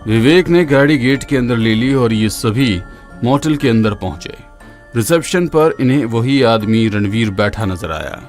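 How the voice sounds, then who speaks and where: A man's voice: medium pitch, expressive, unhurried, a little mellow. A man narrating a scripted horror story in a dramatic storytelling manner. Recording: faint music behind.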